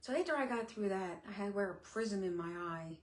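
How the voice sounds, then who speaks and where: A woman's voice: mid-range voice, animated. Middle-aged woman chatting on a live stream, speech a bit slurred, lots of ums, echoey room. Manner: candid and chatty, with pauses and fillers. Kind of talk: casual live-stream talk.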